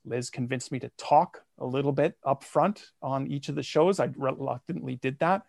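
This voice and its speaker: A man guesting on a podcast, telling the story of his projects in a lively, self-mocking way. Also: medium pitch, moderate pace, vocal fry, no echo, studio quality. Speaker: guest, comedy podcaster and songwriter. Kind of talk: casual interview.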